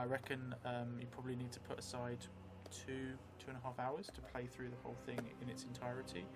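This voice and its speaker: A man in his thirties speaks with a mid-pitched voice over clearly audible music. He is measured and reflective, hosting a solo tabletop storytelling stream from a table indoors. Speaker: solo role-play game player and stream host. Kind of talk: livestream tabletop storytelling and chat.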